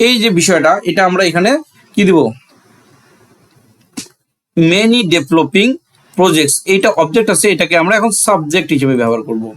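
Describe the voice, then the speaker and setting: A man's voice: fairly high pitch, lively intonation, normal speed, long pauses, a bit bright. A man with a Bangladeshi accent teaching a grammar lesson, asking questions and answering them himself, repeating phrases for emphasis.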